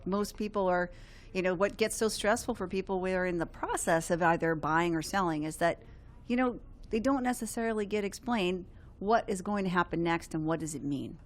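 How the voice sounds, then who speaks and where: A woman's voice: fairly low pitch, fairly quick. A woman hosting a real estate radio talk show, playful and joking with her co-host. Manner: playful, joking, laughs often. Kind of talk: casual radio chat. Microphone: studio microphone.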